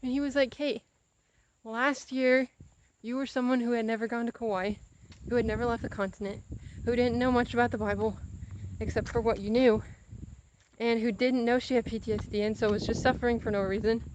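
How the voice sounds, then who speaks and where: A woman's voice: fairly high pitch, a little fast, highs cut off, a little hiss or hum. A young adult woman chatting casually and confessionally to a camera, animatedly retelling conversations. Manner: animated, acts out quoted conversations. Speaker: vlogger.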